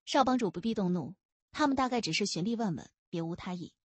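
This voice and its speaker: A tactful gang deputy read by a woman audiobook narrator, soft-spoken and deferential. The voice is husky and the recording dry. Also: mid-range voice, animated, quick pace, dry recording. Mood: placating.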